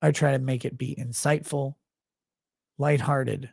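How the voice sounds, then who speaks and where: Tired, gravelly-voiced man rambling. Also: mid-range voice, fairly even intonation, a little slow, a little mellow, no echo, clean studio sound. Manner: rambling, profane, blunt. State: tired, low on sleep. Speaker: livestream host. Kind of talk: casual livestream sign-off chat.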